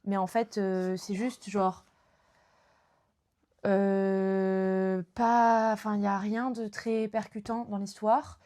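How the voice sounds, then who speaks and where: A woman's voice: mid-range voice, little pitch movement, slow pace, dark tone. Young woman streamer, casual book chat, rambling with fillers. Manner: chatty, lots of fillers and restarts. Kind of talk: casual chat about books.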